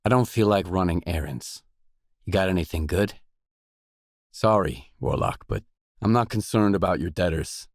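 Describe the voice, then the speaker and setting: An actor playing a blunt, self-reliant mercenary stalker in a video game, speaking in a terse, matter-of-fact way. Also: male voice, low-pitched, lively intonation, moderate pace, long pauses, dry recording, clean studio sound. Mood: firm, defiant. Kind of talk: video game dialogue lines.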